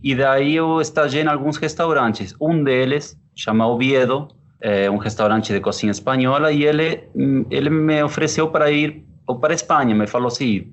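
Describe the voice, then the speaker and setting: A man in his thirties from Argentina, a chef, answering interview questions with an Argentine Spanish accent, unhurried and thoughtful with frequent fillers. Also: fairly high pitch. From Córdoba, Argentina. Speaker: chef and pizzeria partner.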